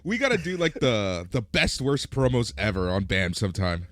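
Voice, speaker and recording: A man hosting a wrestling podcast in casual chat. His voice is almost gone, and he runs quickly through plugs for the network's shows. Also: fairly low pitch, big pitch swings, fairly bright tone. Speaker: podcast host.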